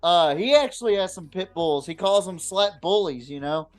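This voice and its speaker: A man with a slightly high voice hosts a loose football podcast. He talks in blunt, slangy, swear-filled bursts, often repeating words as he finds his way. Some music sits behind him. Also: expressive, moderate pace. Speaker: podcast host. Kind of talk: casual unscripted sports talk chat.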